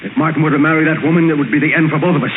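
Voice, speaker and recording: A man acting as an obsessive middle-aged father in an old radio thriller. His voice sits a little high and is intense and emphatic, with a schemer's resolve. The sound is tinny, over a clear bed of noise. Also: fairly even intonation, rapid-fire, natural voice. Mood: coldly resolved. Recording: noisy, tinny 1940s radio recording.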